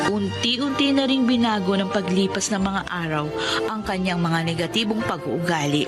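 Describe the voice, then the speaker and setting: A woman's voice: mid-range voice, lightly acted. A woman playing an earnest, grateful poor young woman in a Filipino radio drama, with sincere, emotional delivery.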